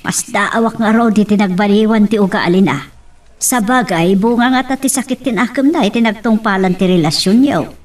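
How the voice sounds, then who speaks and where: A woman's voice: mid-range voice, a little fast, recorded from a distance, slight background noise, close to an everyday voice. A woman playing a worried, devout mother in an Ilocano melodrama, speaking with an Ilocano accent. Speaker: voice actor in a drama.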